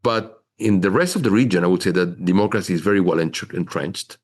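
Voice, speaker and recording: A middle-aged man with a very low voice answers interview questions. He explains at a measured pace, often stopping to say "you know" as he lays out his points. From Chile. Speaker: investment officer guest.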